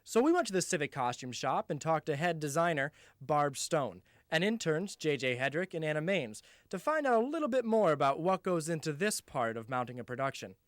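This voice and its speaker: A man delivers scripted narration as a television arts reporter. His voice is on the high side. The recording is dry, with no room sound. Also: very animated, a little fast, few pauses, a bit bright, no echo.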